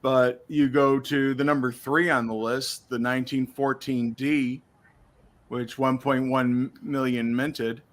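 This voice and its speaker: Man losing his voice, steady explainer. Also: medium pitch, slow. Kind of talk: casual chat about coins.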